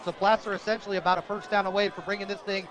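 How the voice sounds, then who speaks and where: A man with an American accent calling a live high school football game with an emphatic, excitable sportscaster delivery. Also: very fast, crowd noise. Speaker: play-by-play radio announcer. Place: outdoor football stadium broadcast.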